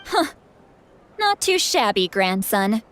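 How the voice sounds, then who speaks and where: A woman playing a stern, huffy grandmother with a soft spot for her grandson in a dramatic video game. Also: mid-range voice, big pitch swings, slow, a little hiss or hum. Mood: gruffly softened.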